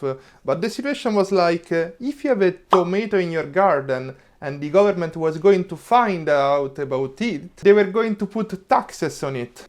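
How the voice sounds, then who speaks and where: A young adult man from Italy with an Italian accent, explaining history in a casual vlog with sarcastic asides and chuckles. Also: a little high. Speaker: vlogger.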